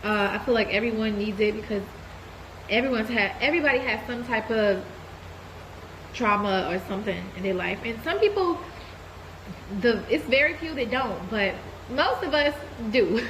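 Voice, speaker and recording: Woman vlogger, congested with a cold, candid and relaxed, slightly high voice, faint hiss behind. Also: moderate pace, poor audio. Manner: relaxed, candid, addresses viewers as y'all. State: stuffy from a cold. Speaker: vlogger giving a life update. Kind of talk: casual chat to camera.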